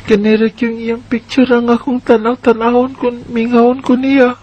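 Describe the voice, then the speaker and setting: A man's voice: extremely high pitch, level tone, moderate pace, lo-fi sound, a little hiss or hum. A man voicing an earnest eleven-year-old boy. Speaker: narrator and main character. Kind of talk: radio drama narration and acting.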